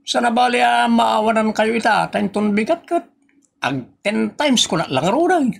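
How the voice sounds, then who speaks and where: A woman with an Ilocano accent playing a pushy, wheedling investment peddler in a comedy audio drama, coaxing and animated. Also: fairly high pitch, a little slow, vocal fry, heavily acted.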